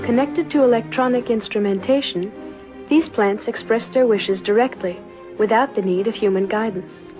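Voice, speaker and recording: A woman delivering scripted documentary narration in a formal, measured manner. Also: on the high side. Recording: dull lo-fi old film soundtrack. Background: music.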